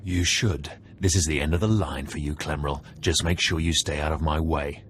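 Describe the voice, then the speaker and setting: Extremely deep, menacing Sith rival. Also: male voice, steady tone, normal speed, fairly bright tone, a little hiss or hum. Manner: threatening, haughty. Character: menacing, arrogant male rival Sith acolyte. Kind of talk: video game cutscene acting.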